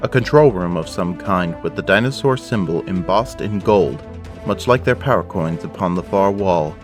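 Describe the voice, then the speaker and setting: Deep-voiced man narrating an audio drama. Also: lively intonation, normal speed. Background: prominent music.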